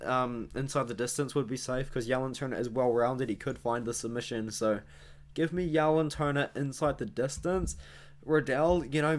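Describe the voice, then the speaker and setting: Chatty New Zealand man predicting fights. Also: medium pitch. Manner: chatty, rambling, self-correcting, with asides to viewers. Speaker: MMA analysis channel host. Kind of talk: casual fight prediction monologue.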